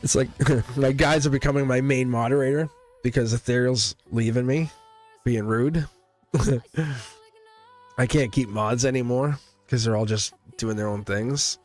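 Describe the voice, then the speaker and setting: A man's voice: mid-range voice, steady tone, unhurried, long pauses, faint music behind. A man giving a live-streamed tarot reading, chatty and teasing, laughing often as he talks to his viewers. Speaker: tarot reader.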